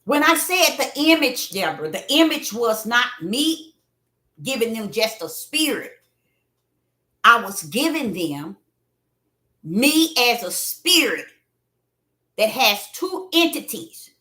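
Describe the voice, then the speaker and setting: A woman preaching a livestream sermon in a repetitive, preachy manner that swells into fervent shouts. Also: on the high side, big pitch swings, slow pace, long pauses, roomy sound.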